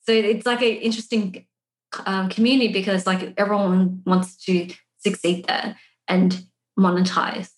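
A woman's voice: medium pitch, level tone, normal speed. A woman guest on a podcast talking casually, with frequent "like" fillers. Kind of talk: conversational podcast interview. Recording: echoey room.

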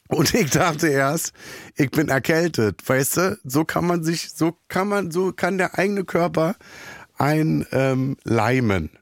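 A hoarse man, hosting a podcast in a rambling, self-mocking comic monologue full of halting fillers. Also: fairly high pitch, lively intonation, dry recording, studio quality. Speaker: podcast host.

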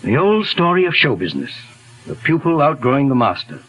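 An actor playing a calm, methodical middle-aged detective in a 1940s radio drama, speaking in a measured, polite way over a hissy old broadcast. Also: male voice, fairly high pitch, rough recording. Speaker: detective lead.

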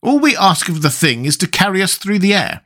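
Guy doing a fussy tin man from a storybook, formal and sure of himself, high voice, swoopy delivery. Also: sing-song, brisk, a bit bright, heavily acted. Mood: matter-of-fact. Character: fussy, self-assured tin man made of metal.

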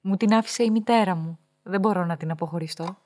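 A woman's voice: on the low side, a little mellow, soft background music, natural voice. An actress playing a cultured, reserved woman, speaking in a composed, earnest way in radio play dialogue.

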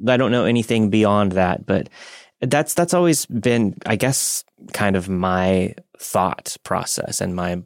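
A man's voice: fairly low pitch, slow, no echo, clean studio sound. A man co-hosting a podcast, chatting casually and reflectively in an informal, musing way. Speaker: podcast co-host. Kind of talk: casual reflective chat, reading listener stories.

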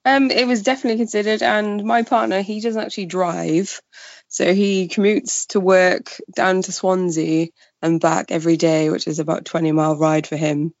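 A young woman from Wales with a creaky voice, chatting casually as an interview guest. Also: fairly low pitch, level tone, normal speed, dry recording, highs cut off. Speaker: podcast guest, freelance journalist.

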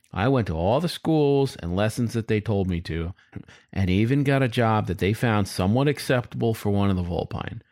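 Young male fox narrator, played by a man: lowish, dry close recording, cheeky, randy, wry, confiding audiobook delivery. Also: darkish tone, no room sound. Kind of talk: first-person audiobook storytelling.

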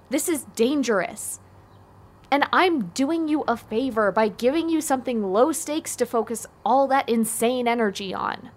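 Audio drama: unsentimental teenage girl, blunt, dry, matter-of-fact delivery, pitched a little high. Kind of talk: naturalistic audio drama acting.

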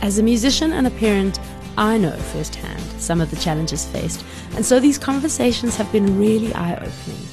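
A woman's voice: mid-range voice, expressive, normal speed, vocal fry, over music. A woman hosting a podcast who reads a scripted intro and asks encouraging interview questions. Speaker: podcast host.

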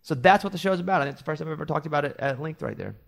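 A man's voice: a little high, racing, vocal fry. A man from Arkansas, a morning show host, chatting casually on a podcast and telling rambling stories with self-deprecating asides.

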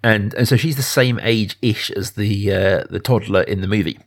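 A man, perhaps in his thirties, talking through a film casually and earnestly, thinking aloud in a halting way as a podcast host. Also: lowish voice, gravelly.

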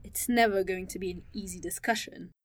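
A woman's voice: mid-range voice, fairly even intonation, a little fast, dry recording, lightly acted. An actress playing a caring but torn woman conspirator in a naturalistic audio drama. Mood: uneasy, reasoning.

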